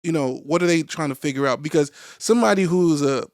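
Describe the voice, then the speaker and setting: Podcast host, man from Nigeria, curious and affirming, slightly high voice, dry sound. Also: fast talker, no echo. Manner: curious, encouraging, many "mm-hmm"s. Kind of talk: casual interview chat.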